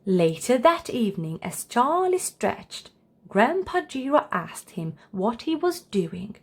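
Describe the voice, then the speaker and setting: A woman's voice: fairly high pitch, sing-song, slow. A woman storyteller reading a children's book aloud as scripted narration.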